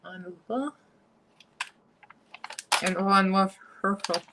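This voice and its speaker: A woman's voice: medium pitch, extremely slow, background noise. A woman with a Russian accent chatting casually and giggling as she does her makeup live for viewers.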